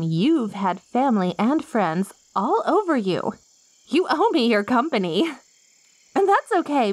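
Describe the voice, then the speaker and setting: A woman acts out a possessive monster girl made of living plant, lonely and sweetly coaxing. She speaks slowly and theatrically in an ASMR roleplay, teasing the listener, on a very dry, close recording. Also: medium pitch, a little mellow, no echo. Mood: adoring, possessive. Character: lonely, possessive yandere plant girl, ancient yet girlish.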